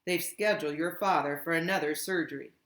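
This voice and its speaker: A woman voicing a tired, gruff old grandmother in a storybook, grave and flat, each word effortful. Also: low-pitched, brisk. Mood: grave, weary. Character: weary, gruff old grandmother bearing bad news. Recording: echoey room.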